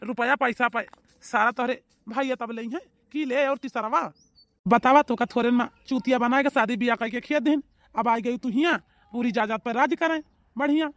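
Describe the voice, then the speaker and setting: A woman's voice: fairly high pitch, moderate pace, dry recording, voice pushed down, close to an everyday voice. Scheming Awadhi mother-in-law, a woman putting her on, dry voice, pushy and plotting. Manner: egging on, keeps asking "you know?".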